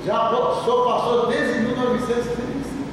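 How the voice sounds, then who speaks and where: An older male pastor with a Brazilian Portuguese accent, preaching emphatically with a fairly high voice, repeating key words and slowing down to stress them. The sound is distant and washed in the long reverb of a large church, with steady noise underneath. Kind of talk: sermon. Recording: echoey church hall from far off, with noise.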